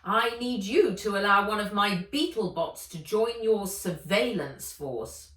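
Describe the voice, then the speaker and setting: A woman's voice: mid-range voice, unhurried, a bit bright, lightly acted. A woman voicing an aged, imperious blackmailing villainess from a storybook, haughty, menacing and insistent. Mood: brisk, demanding. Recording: roomy echo, distant mic.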